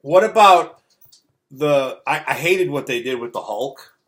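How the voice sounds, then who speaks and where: A man's voice: medium pitch, expressive, measured pace, fairly bright tone. A middle-aged man chatting casually as a film review co-host, blunt and opinionated, often repeating himself for emphasis. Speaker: podcast co-host reviewing films.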